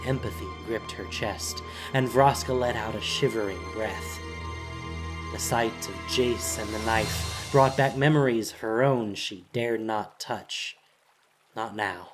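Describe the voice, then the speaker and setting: A man's voice: fairly low pitch, takes it slowly, over music, poor audio. A man reading scripted fantasy narration in a dramatic storytelling style, as the narrator of an audio drama.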